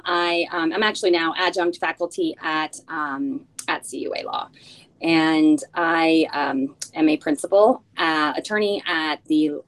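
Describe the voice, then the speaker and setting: A woman whose first language is Spanish, introducing herself as a bar committee co-chair in an earnest, halting way with many fillers. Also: low-pitched, low-quality recording. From United States. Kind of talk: informal spoken introduction.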